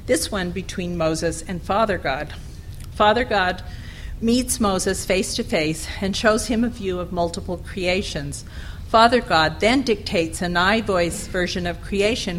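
A woman giving a conference presentation, reading her paper in a measured way at a podium microphone. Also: medium pitch, a little hiss or hum, rough recording. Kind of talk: academic presentation and Q&A. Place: lecture room.

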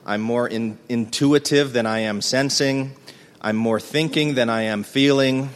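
A man's voice: medium pitch, unhurried. Rambling man presenting in a reverberant hall. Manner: rambling, hesitant, self-deprecating. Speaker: conference presenter. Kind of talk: casual conference talk. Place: conference room.

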